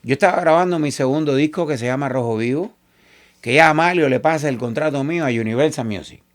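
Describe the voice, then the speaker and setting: A man from Cuba with a Cuban Spanish accent, a salsa singer talking as an interview guest and telling animated stories, often quoting other people. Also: on the high side, fast. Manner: animated, quotes others in lively asides. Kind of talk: casual interview storytelling.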